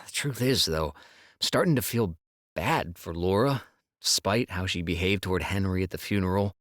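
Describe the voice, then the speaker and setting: A man playing an easygoing, sensitive husband in a con crew, speaking in a measured way in an audiobook drama. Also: sits low, fairly bright tone, no room sound, studio quality. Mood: sympathetic. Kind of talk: full-cast audiobook dialogue acting.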